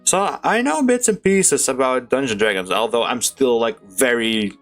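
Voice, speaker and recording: Low-voiced woman streamer over game music. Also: animated, moderate pace. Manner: informal, slangy. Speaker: gaming streamer playing a story game. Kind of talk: casual live game commentary, reading on-screen text.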